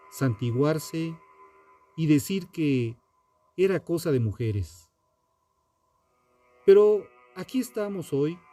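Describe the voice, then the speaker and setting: A man, a podcast host delivering scripted true-crime narration in a dramatic, deliberate way with pauses. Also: a little high, mellow.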